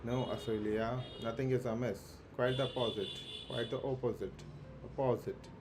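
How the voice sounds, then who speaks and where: A man livestreaming a game, reading its dialogue aloud haltingly, with stumbles and self-corrections. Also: mid-range voice, fairly even intonation. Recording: clearly noisy background.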